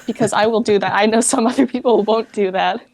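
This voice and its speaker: A woman's voice: medium pitch, fairly even intonation, a little fast, a little mellow, dry recording. An American woman giving an interview as a guest, chatting informally and thinking aloud. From United States. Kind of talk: casual chat.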